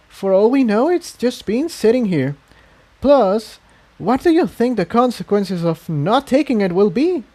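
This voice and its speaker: A man's voice: very high-pitched, dark tone, pitched above the performer's own voice, exaggerated voice. A man voicing an earnest young female pony who argues in a hesitant but stubborn, pleading way in a storybook reading.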